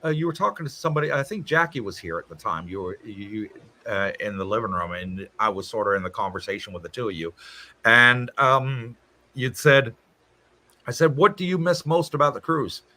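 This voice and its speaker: A man's voice: mid-range voice, a little fast, bright, forceful tone. A man hosting a casual livestream from his home, reading out viewer comments and answering them in a chatty way. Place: home in Thailand.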